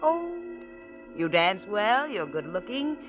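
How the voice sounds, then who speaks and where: Lively, willful society woman. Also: medium pitch, takes it slowly. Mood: teasing, self-assured. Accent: American. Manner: arch, teasing, self-assured. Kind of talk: 1940s radio drama acting. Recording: muffled old radio recording, faint music.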